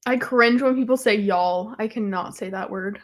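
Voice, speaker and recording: A woman's voice: mid-range voice, normal speed. A young woman from Georgia co-hosting a casual live-stream book chat with dry, teasing remarks. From Georgia, United States. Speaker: co-host.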